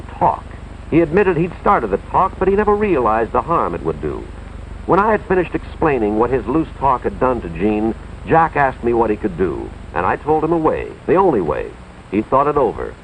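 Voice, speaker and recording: An American man playing an earnest, authoritative school official, narrating a cautionary tale in a deliberate, moralizing 1950s educational film style, with dramatic emphasis. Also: medium pitch, wildly swooping pitch, slight background noise. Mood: earnest, firm. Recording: tinny, band-limited 1950s film soundtrack, music behind.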